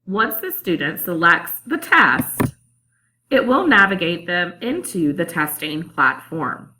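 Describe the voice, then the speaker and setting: A woman teacher narrating a calm instructional screencast about online testing. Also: lowish voice, slow, noisy background. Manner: calm, explanatory.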